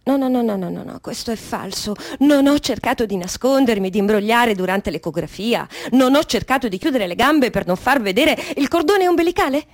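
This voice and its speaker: An actress playing a trapped, stubborn, sardonic unborn baby with an adult mind in a theatrical radio monologue, repeating and correcting herself. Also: female voice, fairly high pitch, lively intonation, fairly quick, hardly any pauses, no room sound, close to an everyday voice. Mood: defiant. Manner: self-correcting, repetitive, musing then emphatic.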